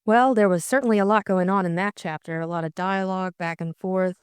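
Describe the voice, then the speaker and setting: A woman's voice: mid-range voice, fairly even intonation, fairly quick, clean tone, soft background music. A young adult woman co-hosting an audiobook, reading narration and casually discussing the book.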